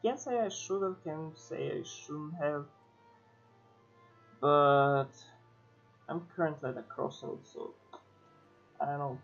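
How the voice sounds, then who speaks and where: A man from Serbia streams, talking very slowly and hesitantly as he thinks aloud. His voice sits a bit high, and the mic sounds slightly dull, with faint game music underneath. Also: creaky, fairly bright tone. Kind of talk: casual stream chat.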